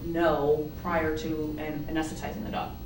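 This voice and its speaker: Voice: medium pitch, flat delivery, moderate pace, noisy background. A board member explaining veterinary anesthesia in a hesitant way, with many restarts. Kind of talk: board meeting discussion. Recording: roomy, distant meeting-room mic.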